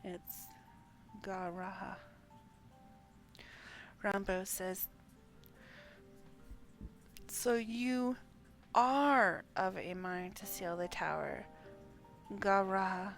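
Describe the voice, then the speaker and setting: A woman's voice: mid-range voice, steady tone, drawn-out words, gravelly, long pauses, faint music behind. A woman livestreaming a game, chatting and reading dialogue aloud, sometimes stumbling over names. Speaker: game streamer.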